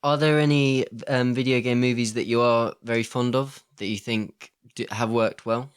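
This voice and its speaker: A man's voice: medium pitch, steady tone, moderate pace, clean tone. A man co-hosting a podcast, talking conversationally and inquisitively in a casual discussion. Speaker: podcast co-host. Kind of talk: casual discussion, posing questions.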